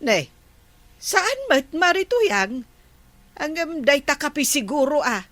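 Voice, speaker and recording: A woman as a slow-talking, high-voiced Ilocano housewife. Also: big pitch swings, a bit bright, dry recording, full character voice. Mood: polite, puzzled. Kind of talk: Ilocano comedy radio drama acting.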